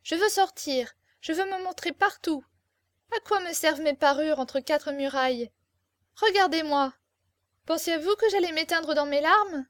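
A woman's voice: high voice, normal speed, dry recording, clean studio sound, voice pushed up, close to an everyday voice. A woman reading a storybook, voicing a fiery, imperious young captive beauty with impassioned, theatrical exclamations. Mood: defiant, exuberant.

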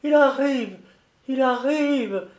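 A male reader puts on a simple-minded young woman with menace in her. She mutters slowly in a doleful, sing-song way, as in an audiobook tale. The room adds an echo. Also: sits high. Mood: dreamy, doleful. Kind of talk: dramatised audiobook storytelling.

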